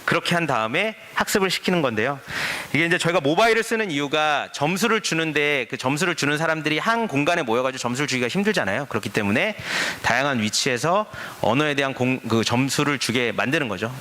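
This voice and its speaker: A man giving a conference presentation, explaining his points with rhetorical questions and a lively manner. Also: on the high side, fast talker. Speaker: forum speaker. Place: conference stage.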